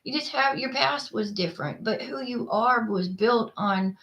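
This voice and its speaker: A woman's voice: fairly high pitch, normal speed, a bit bright, muffled recording. Texan-accented woman, middle-aged, blunt and rambling, casual solo chat. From Texas. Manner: rambling, frank, with many fillers and restarts. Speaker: vlogger.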